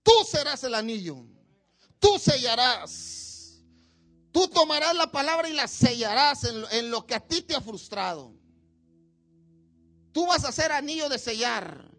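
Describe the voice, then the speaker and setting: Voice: high-pitched, expressive, a little slow, pauses often, a bit bright. A preacher delivering a sermon at a church service, with emphatic, repetitive exhortation.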